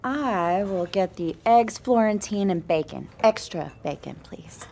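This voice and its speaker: A woman's voice: medium pitch, animated, a little slow, a little mellow, a little hiss or hum. An actress in an audio drama playing a sweet, chatty woman who poses as an expectant mother, friendly and confiding. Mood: cheerful. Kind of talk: audio fiction drama acting.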